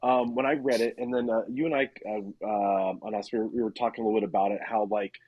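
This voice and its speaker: A man's voice: on the low side, fairly even intonation, quick pace, no echo, narrow, tinny audio. A man co-hosting an interview in casual chat, putting questions hesitantly and tentatively. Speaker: interviewer, podcast co-host.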